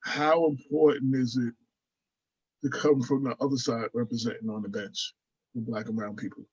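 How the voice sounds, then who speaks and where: A man hosting a radio talk show, asking interview questions and reading listener comments aloud in a halting way. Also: medium pitch, flat delivery, a little mellow, breathy edge, dry recording. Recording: dry, dull lo-fi radio sound.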